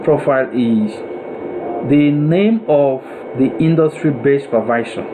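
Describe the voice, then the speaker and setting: A man with a Nigerian accent giving a deliberate, step-by-step software tutorial voice-over, phrase by phrase, as an instructor. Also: medium pitch. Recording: noisy room with steady air-conditioning-like hum.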